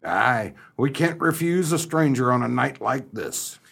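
A sentimental old farmer acted by a man in a comic radio play. The voice is husky and full of fry, with the sorrowful air of rural melodrama. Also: medium pitch, lively intonation, a little slow, fairly bright tone, exaggerated voice. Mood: resigned. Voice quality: creaky, husky. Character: sad, sentimental old farmer husband and father.

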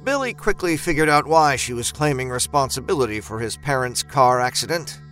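A man narrating a scripted horror story as an expressive, dramatic storyteller. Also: medium pitch, fairly quick, faint music behind.

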